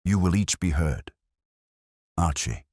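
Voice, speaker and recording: A man's voice: sits low, expressive, a little mellow, no room sound, clean studio sound, exaggerated voice. A man voicing an imperious, cerebral detective in a storybook, speaking formally and pompously. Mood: commanding. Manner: pompous, measured, formal wording.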